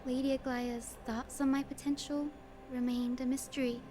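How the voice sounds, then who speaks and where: Woman playing a high, gentle young healer heroine. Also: level tone, moderate pace, a little hiss or hum, natural voice. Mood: reflective, earnest. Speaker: video game character voice. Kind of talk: scripted game dialogue. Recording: music playing behind the voice.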